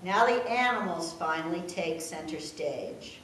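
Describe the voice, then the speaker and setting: An older woman reading a rhyming tribute poem aloud with a measured, sing-song delivery. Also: lowish voice, expressive, a bit bright. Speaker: family member reading her own poem. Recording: echoey room, distant mic, faint noise.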